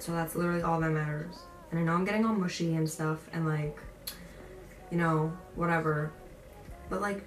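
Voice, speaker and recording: A young woman chatting casually as a vlogger, informal and emphatic, repeating phrases as she tells stories and gives encouraging advice. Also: fairly low pitch. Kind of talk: casual chat to camera, storytelling and advice. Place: indoor room. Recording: roomy echo, distant mic, faint noise.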